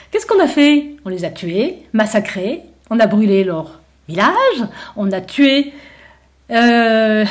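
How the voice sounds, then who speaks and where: A woman's voice: mid-range voice, darkish tone, close to an everyday voice. Woman doing a smug american envoy guy, bossy and lecturing, leans hard on words. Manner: lecturing, self-assured, emphatic.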